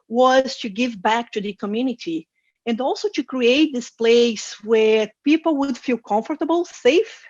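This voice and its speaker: Woman, Brazilian Portuguese accent, mid-pitched voice, conversational conference talk, hesitant phrasing, dry slightly muffled recording. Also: no echo. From Rio de Janeiro, Brazil. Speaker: conference speaker, data science manager. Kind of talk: informal conference presentation over slides.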